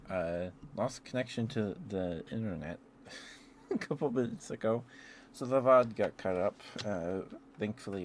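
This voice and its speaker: A man's voice: low voice, background noise. A man hosting a casual ASMR livestream, rambling and talking affectionately to viewers close to the microphone. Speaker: livestream host.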